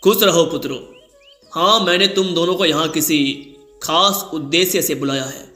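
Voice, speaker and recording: A man playing an elderly, fatherly king in a cartoon story, speaking in a measured, formal and grave manner. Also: fairly high pitch, sharp tone, roomy sound, soft background music. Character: old male king, fatherly, deliberate and grave.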